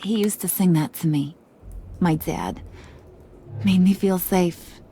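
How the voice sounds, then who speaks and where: A woman's voice: on the low side, slow pace, a little hiss or hum. An actress playing a cocky, volatile female gang boss in a video game, American, teasing and slangy, slipping from singsong to sinister. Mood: wistful, morbid. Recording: loud game music underneath.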